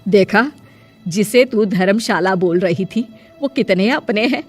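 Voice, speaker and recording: A woman's voice: mid-range voice, unhurried, faint music behind, lightly acted. A woman playing a proud, domineering middle-aged mother-in-law who scolds pointedly in a cartoon family drama. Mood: reproachful.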